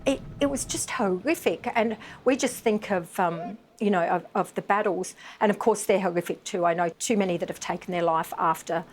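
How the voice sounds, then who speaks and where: A woman's voice: lowish voice, normal speed, few pauses, slight background noise. A middle-aged woman, an advocate giving a live TV interview, emphatic and impassioned with many fillers. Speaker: bereaved mother and veterans' advocate.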